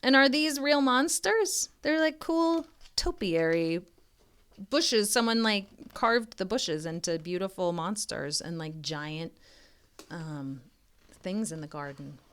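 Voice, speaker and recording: Female storybook reader, mid-pitched, slow and deliberate, informal and encouraging with kids. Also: clear, resonant tone. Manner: expressive, deliberate reading with hushed phrases; informal, encouraging asides addressed to children. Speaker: reading tutor and storybook reader. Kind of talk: modeled children's read-aloud with chatty asides.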